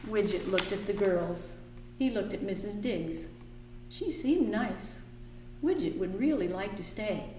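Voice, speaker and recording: A woman hosting a library storytime for children, singing little songs and reading a picture book aloud in a slow, expressive way. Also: medium pitch, distant mic, noisy background. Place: library room. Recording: echoey room, voice from afar.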